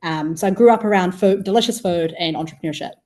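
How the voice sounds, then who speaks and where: Lower-pitched young New Zealand woman. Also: fast, mellow. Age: 20s. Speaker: podcast guest, food entrepreneur. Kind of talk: casual interview chat.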